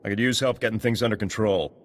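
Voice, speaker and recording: Gruff male ruins guard for a fantasy game, man acting, lowish voice, terse, dutiful, plain-spoken. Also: fast, lightly acted. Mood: grim. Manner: terse, clipped sentences. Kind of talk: video game dialogue acting.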